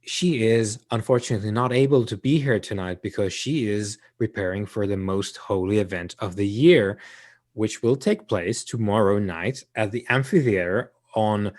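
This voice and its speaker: A man's voice: fairly low pitch, moderate pace, darkish tone, close to an everyday voice. A man voicing a courteous male preacher who formally addresses his congregation. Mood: solemn.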